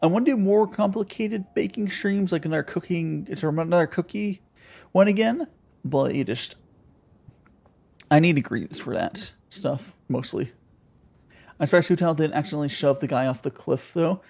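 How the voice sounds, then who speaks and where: A man's voice: fairly high pitch, moderate pace, vocal fry, a little mellow. A man chatting casually and giggling while live-streaming a video game. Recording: faint game music behind.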